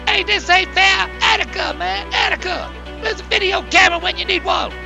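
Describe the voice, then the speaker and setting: A man voices a cartoon bear pimp who wrestles. The voice is very high for a male, slangy, cocky and aggrieved. Music plays clearly behind it. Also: normal speed. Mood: indignant. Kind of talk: scripted wrestling cartoon acting.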